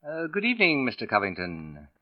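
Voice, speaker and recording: A smug pompous old-radio sleuth, really animated, precise wording, dry muffled 1940s sound. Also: male voice, mid-range voice, brisk, dry recording. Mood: smug, theatrical. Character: suave, condescending male writer turned shrewd accusing sleuth. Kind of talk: 1940s radio drama acting. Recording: dull vintage radio recording.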